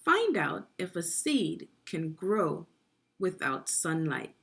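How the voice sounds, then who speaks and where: A woman's voice: fairly low pitch, big pitch swings, slow, creaky, slightly rough. A woman leading a guided read-aloud of a children's science book, teaching and prompting young listeners with questions.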